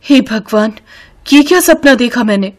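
A woman's voice: on the high side, animated, moderate pace, lightly acted. A woman voicing a hot-tempered, domineering young village wife in a cartoon, scolding and commanding. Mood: shaken, softening.

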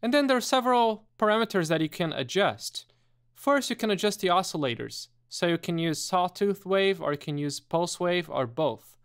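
A man presenting a step-by-step software tutorial in a methodical, explanatory way. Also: high voice, animated, normal speed, clean tone.

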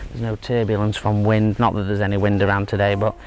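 A man in his thirties giving a relaxed, hesitant fishing tutorial outdoors by a lake, with lots of fillers and restarts. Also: fairly low pitch, fast. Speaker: angling instructor. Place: outdoors at a lakeside fishing spot. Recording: faint outdoor background noise. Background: faint outdoor ambience.

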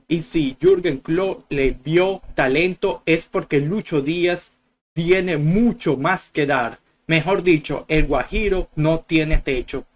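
Young man chatting about football clips. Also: fairly high pitch. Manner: chatty, rambling with restarts. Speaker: football channel host. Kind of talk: casual video commentary. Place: small room at a desk.

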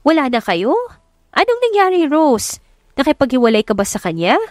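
A woman's voice: high-pitched, big pitch swings, moderate pace, a little mellow, no room sound, clean studio sound, pitched above the performer's own voice. A woman voicing a middle-aged mother, protective and concerned, speaking urgently and consolingly in an audiobook romance. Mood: worried.

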